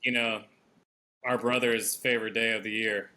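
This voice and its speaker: A man's voice: lowish voice, flat delivery, normal speed, bright, forceful tone, rough recording. A man co-hosting a comedy podcast, teasing his friends and rambling through his plugs. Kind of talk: crude joking banter.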